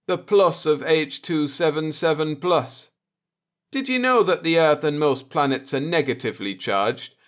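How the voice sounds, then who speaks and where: A man's voice: mid-range voice, fairly bright tone, close to an everyday voice. Man reading as a sardonic, gloating space navigator. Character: coolly vindictive male spaceship navigator, explaining and gloating.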